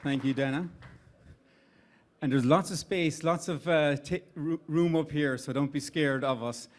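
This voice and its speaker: A man's voice: fairly high pitch, measured pace. A middle-aged man with an Irish accent, giving conversational opening remarks at a live event, with restarts and fillers. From Ireland. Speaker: CEO of an investment firm giving opening remarks. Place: event venue with an audience.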